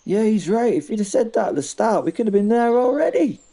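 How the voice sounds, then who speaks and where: A man playing an excitable sidekick, loud, flustered and stumbling over his words. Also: sits high, brisk, vocal fry, heavily acted. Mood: eager, indignant. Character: excitable, flustered male companion of a giant wolf.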